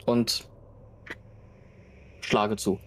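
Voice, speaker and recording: A man's voice: on the low side, little pitch movement, extremely slow, slight background noise. A man playing a tabletop role-playing game, talking casually and hesitantly as he thinks aloud. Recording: music under the voice. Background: background music.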